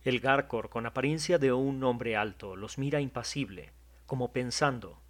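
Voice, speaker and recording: A man narrating an audio drama in a measured, descriptive way. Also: medium pitch, crowd noise.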